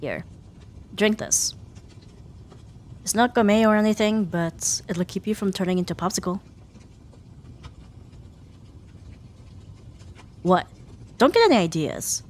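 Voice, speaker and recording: Woman acting a sarcastic tsundere rival, swooping pitch. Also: expressive, a little hiss or hum, natural voice. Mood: reluctantly caring. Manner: sarcastic, huffy, theatrical sighs and drawn-out jabs. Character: sharp-tongued tsundere young female rival, grudgingly caring. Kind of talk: ASMR roleplay audio drama addressed to the listener.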